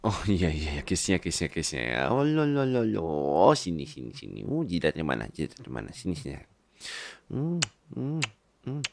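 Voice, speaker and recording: A man's voice: deep voice, huge pitch swings, moderate pace, vocal fry, darkish tone, a little hiss or hum, full character voice. A man playing a doting, teasing husband, a babyish big boss, in an intimate ASMR roleplay, coaxing playfully and dropping into whispers.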